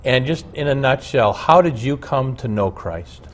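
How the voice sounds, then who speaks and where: Pastor host, male, mid-pitched, brisk interviewer. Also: expressive. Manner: brisk questioner, frequent short acknowledgments. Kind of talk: interview talk show.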